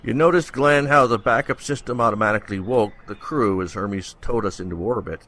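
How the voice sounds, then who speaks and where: A man acting as an earnest male colony ship captain in a sci-fi radio drama. His voice is lowish and forceful, with an inquiring way of talking. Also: brisk, close to an everyday voice. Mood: curious.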